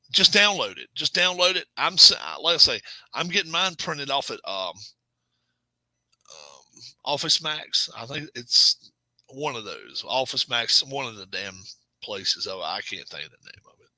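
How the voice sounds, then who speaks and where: A middle-aged man hosting a casual live stream, chatting with viewers in a rambling, self-correcting way and sometimes dropping to a low murmur. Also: bright, forceful tone, no echo, low-quality recording. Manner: drops to a low murmur at times. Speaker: channel host.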